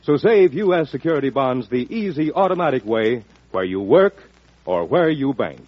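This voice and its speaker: A man's voice: mid-range voice, sing-song, moderate pace, no echo. A man reading a scripted announcement in the style of a mid-century radio announcer. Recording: dull, dry vintage radio recording.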